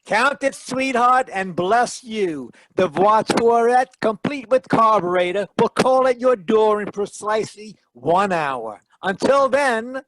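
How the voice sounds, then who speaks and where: Male actor as wry 1940s radio private eye: smooth-talking, theatrical, higher-pitched, dry sound. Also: expressive, slow, hardly any pauses, fairly bright tone, no echo, exaggerated voice, rough recording. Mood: playful. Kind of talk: 1940s radio detective acting.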